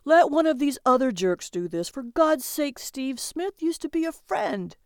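A woman's voice: mid-range voice, expressive, unhurried, darkish tone, dry recording, studio quality. A woman voicing a huge, nervous male agent of death in an audiobook, anxious, needling and pleading with his partner. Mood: pleading, worried.

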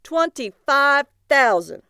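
A woman's voice: medium pitch, expressive, normal speed, darkish tone, dry recording, studio quality, close to an everyday voice. A woman voicing a bitter, reckless, balding male agent of death in an audiobook, scornful and hot-tempered. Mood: defiant.

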